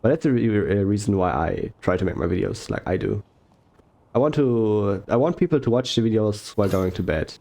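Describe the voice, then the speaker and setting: A young man talking slowly and softly over gameplay, rambling with fillers and self-corrections. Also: on the low side, lively intonation, gravelly. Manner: slow, soft-spoken, unhurried. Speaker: gaming YouTuber. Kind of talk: relaxed gameplay commentary.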